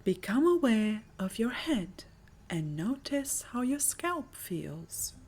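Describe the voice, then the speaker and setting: A woman with a soft, breathy voice narrating a guided meditation in a soothing, measured manner. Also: fairly high pitch, sing-song. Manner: soothing, measured, breathy delivery with lingering pauses.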